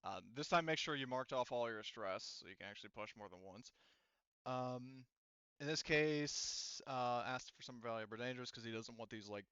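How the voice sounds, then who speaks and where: A man with a smooth, clear voice acts as game master for a tabletop roleplaying game. He talks casually and haltingly, thinking aloud as he works out rules. The sound is close and dry. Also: medium pitch, a bit bright, no room sound, dull audio.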